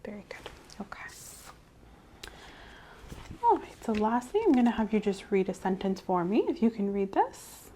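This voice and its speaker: Soft, mellow woman giving a gentle exam. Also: medium pitch, very animated, moderate pace, long pauses, slight background noise. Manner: gentle, reassuring, step-by-step instructing. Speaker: clinician in a medical exam role-play. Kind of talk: soft-spoken ASMR medical role-play. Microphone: clip-on mic.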